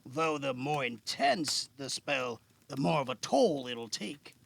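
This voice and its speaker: Weathered fantasy veteran , male actor: gravelly, bright-edged voice, modest and measured, amateur audio drama. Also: medium pitch, animated, no room sound, full character voice. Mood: explaining. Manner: measured explaining with thoughtful hums. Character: Alan, weathered veteran male caster, patient, modest, wryly guarded.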